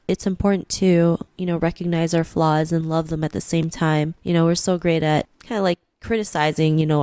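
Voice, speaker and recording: A woman's voice: deep voice, a little mellow, dry recording. A woman hosting an interview podcast, upbeat and chatty, often saying "you know" and "right". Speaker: podcast host. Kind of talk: casual interview chat.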